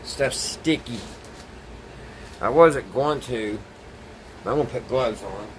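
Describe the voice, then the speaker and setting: A man's voice: mid-range voice, slow. A plainspoken, folksy man thinking aloud as he casually narrates hands-on work to viewers. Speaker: DIY vlogger. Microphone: phone camera set away from speaker. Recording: distant mic, noisy with steady background hum.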